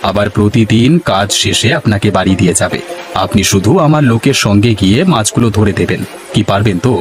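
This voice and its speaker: A man voicing a businesslike, generous city fish merchant in an animated folk tale, speaking in a formal, measured, authoritative way. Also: on the low side, lightly acted. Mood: businesslike, assured. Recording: music behind the voice.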